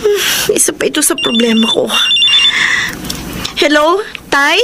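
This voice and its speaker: High-voiced troubled young Filipina, radio drama. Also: female voice, animated, a little slow, very noisy. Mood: worried. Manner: dramatic, hesitant, emotional. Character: troubled young pregnant woman, anguished and reluctant.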